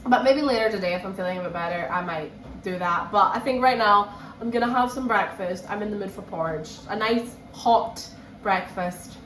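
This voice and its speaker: Echoey room, faint noise, young woman, highish voice, chatty reading vlog, slightly under the weather with a cold. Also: normal speed, a bit bright. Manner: chatty, repeats phrases for emphasis. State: run down with a cold. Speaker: book vlogger. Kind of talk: casual chat to camera, reading vlog.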